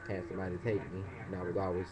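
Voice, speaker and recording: A man's voice: very fast, a loud crowd. A young man, casually telling a story in a vlog and acting out other people's voices. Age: young adult. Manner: animated, acts out other people's voices in high pitch. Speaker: vlogger. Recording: dull lo-fi webcam audio.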